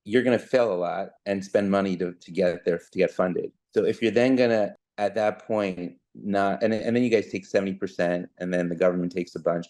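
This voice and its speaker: A man from New York giving casual, halting interview answers as a guest, often restarting and correcting himself in a matter-of-fact way. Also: low-pitched, steady tone, darkish tone. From born in Canada, grew up in New York. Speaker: interview guest, sports bettor.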